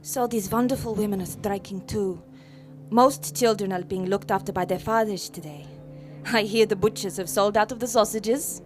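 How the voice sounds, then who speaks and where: An actress playing a warm, proud feminist mother from Iceland in a radio play, brisk and welcoming as she explains things. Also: mid-range voice, soft background music, close to an everyday voice. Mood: proud, explaining.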